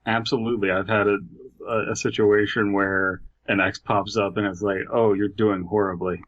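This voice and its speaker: Male podcast co-host, lowish pitch, deadpan and blunt casual banter, somewhat dull lo-fi mic. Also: moderate pace. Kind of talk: casual comic chat.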